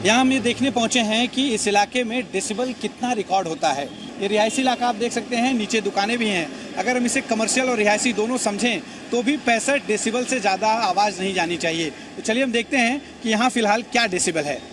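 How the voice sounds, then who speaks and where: Guy doing field news report outside, high voice, clear street noise and traffic behind him. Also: fairly quick, few pauses. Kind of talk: on-location news reporting, explanatory. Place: outdoors in busy city streets. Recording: noisy outdoor street ambience, traffic. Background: urban street noise and vehicles.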